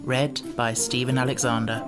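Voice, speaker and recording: Low-pitched woman narrating. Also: little pitch movement, normal speed, over loud music. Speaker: audiobook narrator. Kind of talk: scripted narration of a children's storybook.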